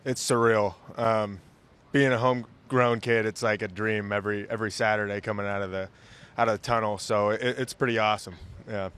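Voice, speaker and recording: A man's voice: fairly low pitch, fairly even intonation, fairly quick, fairly bright tone, smooth. A young man from Nebraska, a college football player, giving short, plain interview answers. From Lincoln, Nebraska. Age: young adult.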